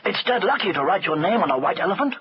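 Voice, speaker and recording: A man's voice: high-pitched, expressive, fast, husky, dull audio, heavily acted. A man voicing a cheeky, scheming young servant boy in a storybook, glib, chatty and breezily innocent. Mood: breezy, cheeky. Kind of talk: storybook character voice.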